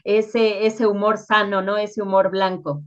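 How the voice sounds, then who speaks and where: Slightly muffled lo-fi audio; female interviewer, Quito accent, medium pitch, conversational questioning. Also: level tone, a little slow. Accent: Ecuadorian, Quito. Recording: dull, lo-fi sound.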